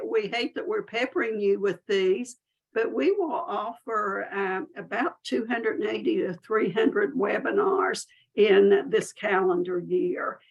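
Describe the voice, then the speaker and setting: A talkative woman with a warm Southern accent presents on a video call webinar. Her voice carries a gravelly, creaky edge. She speaks in a folksy, self-deprecating way. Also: medium pitch, muffled recording. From Southern United States. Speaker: SCORE chapter chair and presenter.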